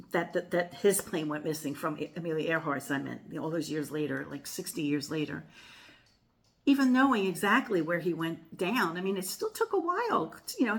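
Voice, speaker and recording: An older woman from the United States hosting her tarot channel, talking casually to viewers in a rambling way, with lots of fillers and self-corrections. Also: lowish voice. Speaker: tarot reader and channel host. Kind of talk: casual chatty monologue to viewers.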